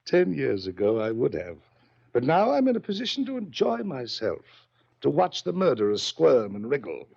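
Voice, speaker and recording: An actor playing a wealthy, smugly menacing yacht owner in a 1940s radio drama, taunting with theatrical pauses and drawn-out words. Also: male voice, medium pitch, wildly swooping pitch, vocal fry, a little mellow, breathy edge, exaggerated voice. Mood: gloating. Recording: muffled old-time radio recording.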